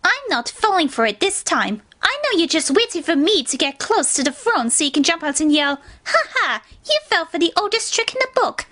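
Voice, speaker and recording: A demanding, self-important young pony in a cartoon fan drama. The voice sits high and leaps about with big, emphatic swings. Every word is suspicious and haughty. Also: female voice, big pitch swings, normal speed, few pauses, sharp tone, exaggerated voice. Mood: suspicious, defiant. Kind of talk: fan fiction audio drama acting.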